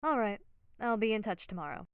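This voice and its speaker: A woman playing a calm, courteous police detective in an audio drama, businesslike and polite through a telephone filter. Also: medium pitch, fairly quick, clean tone, dry recording. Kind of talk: naturalistic audio drama acting. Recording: thin, band-limited phone line.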